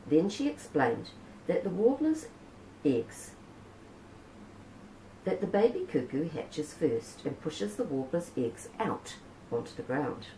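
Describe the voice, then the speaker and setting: Low, lilting New storyteller woman. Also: big pitch swings, slow, long pauses. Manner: gentle storytelling, Maori words spoken naturally. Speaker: children's book reader. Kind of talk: picture book read-aloud. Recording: roomy with faint background hiss.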